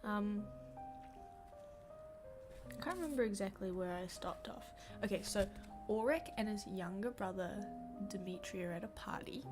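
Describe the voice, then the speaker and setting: A woman's voice: mid-range voice, moderate pace, over music. A young woman with a New Zealand accent, sniffly, chatting casually and self-deprecatingly while reading a book aloud on a livestream at home.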